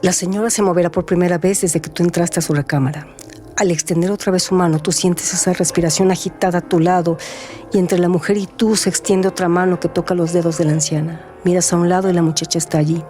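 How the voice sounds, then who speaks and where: Mellow, low-voiced woman narrator. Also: steady tone, fast talker. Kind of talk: literary radio drama narration. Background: music.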